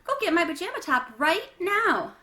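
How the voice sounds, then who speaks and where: A woman's voice: extremely high pitch, sing-song, normal speed, sharp tone, voice pushed up, full character voice. A woman voicing an indignant, bossy young girl in a read-aloud storybook, with an exasperated, insistent delivery.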